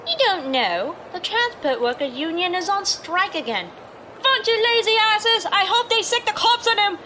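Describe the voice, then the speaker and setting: A woman's voice: very high voice, very animated, moderate pace, bright, forceful tone, slight background noise, exaggerated voice. A woman playing an irritated, complaining commuter in a sci-fi audio drama. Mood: angry.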